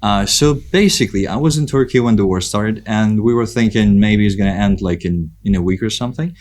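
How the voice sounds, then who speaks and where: A man from Ukraine with a Ukrainian accent, talking casually and reflectively as a podcast guest, pausing often as he thinks. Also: fairly low pitch, a little mellow. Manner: hesitant, reflective, with frequent pauses. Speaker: podcast guest, photographer and content creator. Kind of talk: casual conversational interview.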